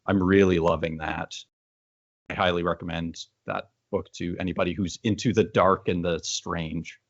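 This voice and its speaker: A man's voice: low-pitched, fairly even intonation, moderate pace, no room sound. A man co-hosting a casual interview, chatting conversationally on slightly dull audio. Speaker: interview co-host.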